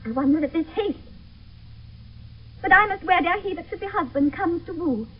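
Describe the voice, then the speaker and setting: A tinny old radio broadcast of classical verse drama. a young noblewoman with a high voice, grieving and in love. Her delivery is declamatory and impassioned. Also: expressive, normal speed. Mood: defiant, pleading.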